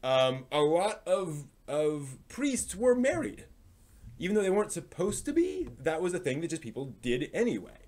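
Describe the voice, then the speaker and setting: Halting man explaining casually. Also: fairly high pitch, big pitch swings, darkish tone. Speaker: live stream host. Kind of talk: casual book review talk, explanatory.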